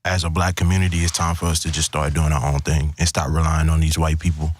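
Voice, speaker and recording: Very deep-voiced man in casual discussion. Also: flat delivery, fast, no room sound. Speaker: podcast panelist.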